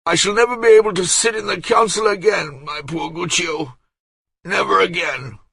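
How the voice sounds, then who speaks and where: A man's voice: high voice, normal speed, gravelly, sharp tone, breathy edge, no echo, voice pushed up, full character voice. A man voicing a fat, fussy older nobleman with a head cold, groaning and complaining. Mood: despairing.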